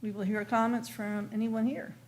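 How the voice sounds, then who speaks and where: A woman's voice: a little high, level tone, normal speed. Woman chairing a meeting, noisy background. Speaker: commission chair running a public meeting. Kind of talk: formal meeting procedure, conversational. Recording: clear background noise, room ambience.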